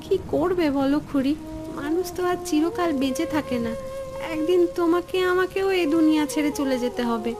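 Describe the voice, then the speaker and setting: A woman voicing a practical, deferential village housemaid in a Bengali cartoon, soft-spoken and matter-of-fact. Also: sits high, fairly quick, lightly acted. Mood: consoling. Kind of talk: Bengali fairy-tale cartoon acting. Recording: music under the dialogue.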